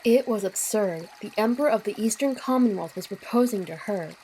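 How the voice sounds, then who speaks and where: Woman narrator, soft dark mellow tone, medium pitch, scripted storytelling, noisy trickling water behind. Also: a little fast. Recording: clear noise with water sounds behind. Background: trickling water ambience.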